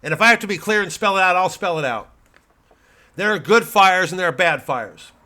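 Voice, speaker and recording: A man acts out an American right-wing pundit in a satirical podcast rant. The voice is sharp and forceful, full of bombast and indignant self-importance. He repeats himself and pauses heavily for emphasis. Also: high voice, lively intonation, voice pushed up. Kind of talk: satirical political podcast monologue.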